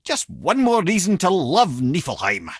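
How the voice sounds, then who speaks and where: An actor playing a witty, learned old storyteller and guide in a video game, with a Scottish accent and a wry, erudite, theatrical way of talking. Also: male voice, high voice, huge pitch swings, a little slow, slightly rough, dry recording, studio quality, exaggerated voice. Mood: wry banter. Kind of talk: video game character voice lines, storytelling and banter.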